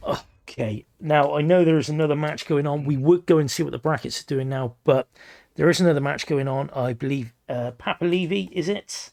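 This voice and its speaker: A hoarse man, commentating a competitive video game match with excited, swearing energy. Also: a little high, fairly quick. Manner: energetic, swears freely, laughs often. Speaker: esports shoutcaster.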